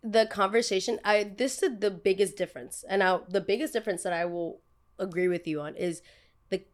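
A woman's voice: medium pitch, fairly quick. A young woman with a New Jersey accent, chatting casually as a podcast host in a blunt, emphatic, slangy way. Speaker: podcast host, content creator.

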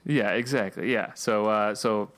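A man co-hosting a podcast in casual chat, relaxed and chatty, laughing often, saying he is losing his voice. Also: on the low side, measured pace. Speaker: podcast co-host.

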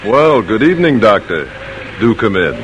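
An actor playing a loyal, modest colonial silversmith in an old radio drama, speaking in a plain, unhurried, matter-of-fact way. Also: male voice, lowish voice, big pitch swings, noisy background. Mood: hearty.

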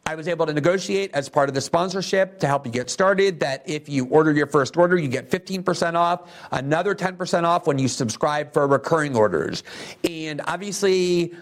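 A man's voice: a little high, brisk. A man hosting a political interview show, reading a sponsor spot and asking long, winding questions in an earnest way. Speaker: show host.

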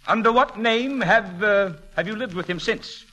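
An actor playing a stern, officious courtroom questioner in a 1940s radio comedy, formal and declamatory. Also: male voice, high voice, moderate pace, sharp tone. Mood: formal, probing. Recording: vintage 1940s radio broadcast.

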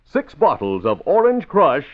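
A man's voice: a little high, wildly swooping pitch, unhurried. A man announcing and narrating dramatically in the scripted style of old radio. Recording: dull old radio recording. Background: music.

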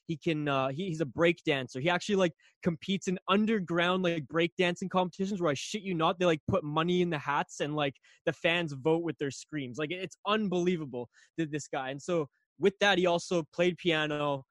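A young man from Canada, a guest on a podcast, telling a long story casually with lots of fillers and restarts, his voice a little raspy. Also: on the high side, fairly quick, a bit bright, no room sound. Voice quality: slightly raspy.

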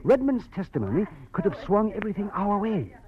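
A man's voice: high voice, very animated, a little fast, crowd noise. A man acting a small, nervous, fussy lawyer in his fifties, formal and urgent, in 1940s radio style. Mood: confiding, anxious. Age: 50s. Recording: dull, band-limited old radio recording.

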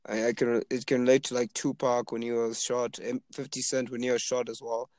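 A man's voice: medium pitch, brisk, no room sound. A man of twenty from Zimbabwe, chatting casually and rambling with lots of fillers about being an aspiring rapper. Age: 20s. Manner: relaxed, rambling with many fillers. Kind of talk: casual chat.

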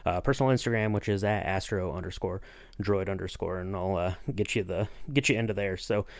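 A man hosting a podcast in casual chat, talking in a halting, rambling way. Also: deep voice. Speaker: podcast host and interviewer.

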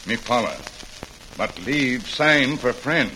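A stoic western sidekick talks slowly in terse, broken English. The delivery is forceful and halting, old radio drama style, with some hiss. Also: male voice, mid-range voice, expressive, poor audio. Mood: stoic, defiant. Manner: terse, halting, clipped grammar. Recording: hissy old radio recording.

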